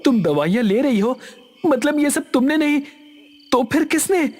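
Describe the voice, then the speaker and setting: A man's voice: very high-pitched, animated, moderate pace, a little mellow, pitched above the performer's own voice. A man voicing an alarmed, accusing young man in a dramatized horror story, urgent and exclaiming. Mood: distressed questioning.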